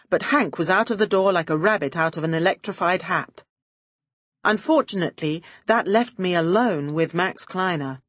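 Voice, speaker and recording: A woman's voice: medium pitch, dry recording, studio quality. A woman reading a first-person detective story as an audiobook narrator, with a wry, arch and poised delivery.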